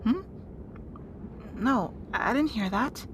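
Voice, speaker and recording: Woman acting as a guarded bossy rat princess, kinda slow talker, audio drama, noisy background. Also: a little high, animated. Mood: uneasy, alert. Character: brusque, guarded young rat princess adventurer.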